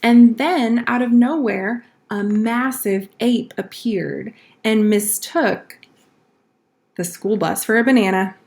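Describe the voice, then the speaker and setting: Storybook schoolboy, read by a woman, earnest teller of tall tales, slow-paced delivery. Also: fairly high pitch, darkish tone. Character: schoolboy spinning tall-tale excuses for lateness.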